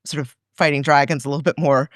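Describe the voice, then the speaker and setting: A woman's voice: deep voice, fairly even intonation, quick pace, no echo, clean studio sound. A woman with a Canadian accent, a guest author talking casually in an interview, chatty and laughing often, with many fillers and restarts. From Canada and France.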